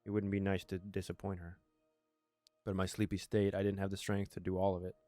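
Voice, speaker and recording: Low-voiced man playing and reading a game. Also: flat delivery, a little fast, mellow, no room sound, soft background music. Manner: stumbles over words while reading, self-correcting. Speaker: gamer reading a game aloud with commentary. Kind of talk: casual let's play, reading narration aloud and reacting.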